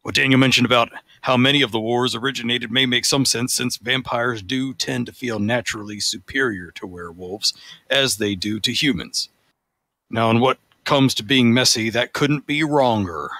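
Man putting on a pompous, bright-voiced vampire. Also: medium pitch, moderate pace, dry recording. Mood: haughty. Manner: pompous, formal. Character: pompous self-styled vampire forum poster, haughty and pedantic. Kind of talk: dramatic reading of forum posts.

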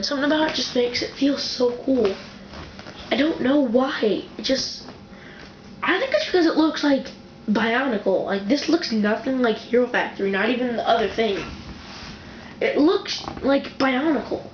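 Excitable woman reviewer, rambling and self-correcting, recorded on a camera mic in a roomy, echoing home room. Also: a little high. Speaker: toy reviewer. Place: indoor room with a wooden floor, off camera. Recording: dull, echoey home camera recording with faint hiss.

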